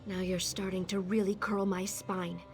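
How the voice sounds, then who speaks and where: A woman's voice: medium pitch, little pitch movement, normal speed, faint music behind, lightly acted. A woman playing a bitter, jaded younger sister who left a cult, guarded and sarcastic, in video game dialogue. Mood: wary, sardonic.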